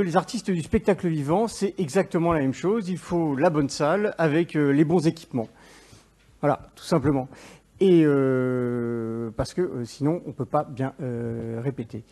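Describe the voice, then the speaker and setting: Man, stage pitch, slightly high voice, playful, teases the crowd. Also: darkish tone, husky. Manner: joking, playful with the audience. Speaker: actor and startup founder. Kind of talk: startup pitch. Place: event stage.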